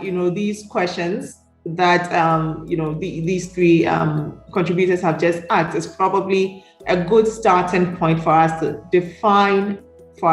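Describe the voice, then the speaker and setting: A woman moderating a panel discussion, reflective and questioning, often checking in with the listeners for agreement. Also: fairly low pitch. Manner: reflective, questioning, seeking agreement with tags like "right?". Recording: roomy echo.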